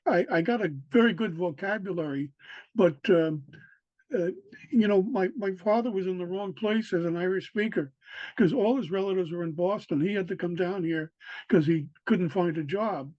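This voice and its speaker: Older New man, halting speech. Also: high-pitched, dry recording. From New York City. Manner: halting, many fillers and restarts. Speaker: interviewee, local historian. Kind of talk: casual recorded interview. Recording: dry, dull lo-fi sound.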